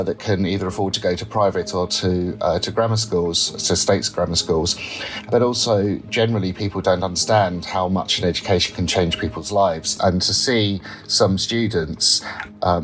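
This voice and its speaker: Male teacher, very deep voice, reflective, faint music underneath. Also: fairly bright tone, rough recording. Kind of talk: recorded interview clip.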